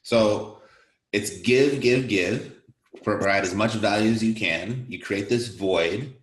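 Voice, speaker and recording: A man's voice: fairly low pitch, slow pace. A man hosting an interview, asking conversational follow-up questions, with a roomy sound. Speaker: interviewer, host.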